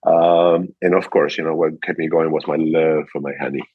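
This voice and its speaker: A man's voice: a bass voice, fairly even intonation, moderate pace, slightly rough. A man in his sixties from Peru with a Peruvian Spanish accent, telling casual anecdotes as an interview guest and animatedly acting out quoted lines. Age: 60s. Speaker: interview guest, car dealership director. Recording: dull, echoey room sound.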